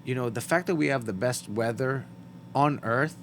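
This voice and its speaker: A man's voice: mid-range voice, normal speed, gravelly, fairly bright tone, a little hiss or hum. A man from the Middle East hosting a podcast, talking casually, emphatically and bluntly, swearing freely. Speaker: podcast host.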